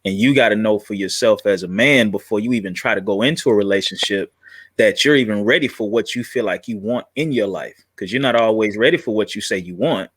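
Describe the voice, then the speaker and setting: A man's voice: fairly low pitch, fairly bright tone, husky, dry recording, clean studio sound. A man in his late thirties, a podcast guest, talking earnestly and reflectively about growth and relationships. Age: 30s. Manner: earnest, reflective, halting restarts. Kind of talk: casual chat.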